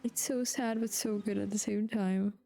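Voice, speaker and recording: A woman's voice: mid-range voice, steady tone, normal speed, vocal fry, dark tone. A woman livestreamer casually reacting to a video game in short remarks. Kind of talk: casual live gaming commentary. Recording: faint game music behind.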